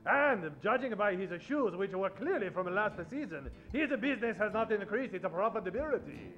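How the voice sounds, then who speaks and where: A man playing a courteous, self-assured general sleuth in a stage radio play, speaking in a measured, formal way. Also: high-pitched, big pitch swings, a bit bright. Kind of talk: old-time radio acting. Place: theatre stage. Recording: dull stage recording.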